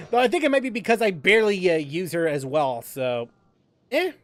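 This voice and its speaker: A man with a high-pitched voice talks casually as he streams a game. His delivery is halting, with phrases often repeated or started over. Music plays behind him. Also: a bit bright. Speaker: livestream host, gamer. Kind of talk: casual livestream chat while gaming.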